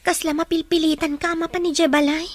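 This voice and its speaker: A woman's voice: extremely high pitch, level tone, fast, soft background music. A woman voicing a lovesick, insistent teenage girl in an audio drama, with an Ilocano Filipino accent. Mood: pleading, reproachful.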